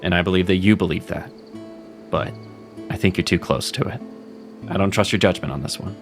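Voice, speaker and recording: A man's voice: low-pitched, fairly quick. A man voicing Seraphin, a wry cat mentor. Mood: steady. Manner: steady, reassuring, self-critical. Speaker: voice actor. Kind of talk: audio drama acting. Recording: music underneath.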